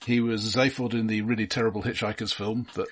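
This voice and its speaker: A man co-hosting a film podcast, casually explaining his observations with halting, repeated starts. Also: lowish voice, little pitch movement, slightly rough.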